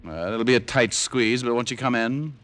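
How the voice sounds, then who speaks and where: An actor playing a cool, wisecracking private detective with an American accent and wry wit, in old-time radio drama style. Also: male voice, medium pitch, moderate pace, a bit bright, no room sound, close to an everyday voice. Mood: sarcastic. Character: wisecracking, cool male private detective narrating his case. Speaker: lead actor and narrator.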